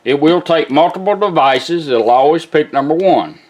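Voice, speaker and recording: A man's voice: fairly high pitch, animated, normal speed. A man giving an informal, folksy hands-on product demonstration, explaining each step in an instructional way. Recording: music playing behind the voice.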